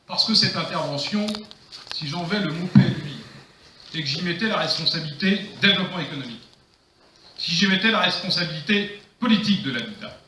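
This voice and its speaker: A man with a bright, forceful voice, pitched a little high, argues as a mayor in a council debate. He is emphatic and declamatory, repeating phrases for effect. The room is very echoey. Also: far from the mic. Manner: emphatic, declamatory, rhetorical repetition. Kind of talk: improvised political rebuttal. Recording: echoey room, faint noise, clicks.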